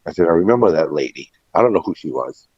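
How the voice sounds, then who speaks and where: A man's voice: sits low, animated, fast, darkish tone, breathy edge. A middle-aged man telling personal stories in a casual interview, reflective and anecdotal, often quoting past conversations. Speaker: restaurant and catering veteran being interviewed. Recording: muffled, echoey room recording.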